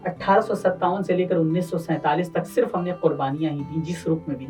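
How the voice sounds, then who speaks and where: A man's voice: a little high, fairly even intonation, fast talker, a little mellow, distant mic. A man hosts a poetry gathering, heard a little away from the microphone with faint plucked-string music underneath. He delivers couplets and introductions in a declamatory style, repeating phrases for effect. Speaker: poet and host of poetry gathering. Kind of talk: Urdu-Hindi couplet recitation and formal introductions.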